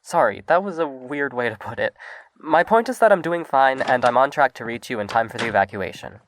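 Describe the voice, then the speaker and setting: A man's voice: medium pitch, lively intonation, brisk, slight background noise, lightly acted. A man playing an anxious, self-deprecating lone traveller in a zombie audio drama, speaking in a hesitant, awkward, wry way. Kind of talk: audio drama acting, casual dialogue.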